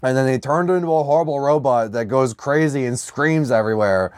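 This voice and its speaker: A man co-hosting a podcast in casual comedic banter, swearing freely and telling stories in an animated, riffing way. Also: medium pitch, brisk, clean tone, dry recording, clean studio sound. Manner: profane, riffing, animated storytelling. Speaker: podcast co-host. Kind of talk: casual comedic banter about films and comics.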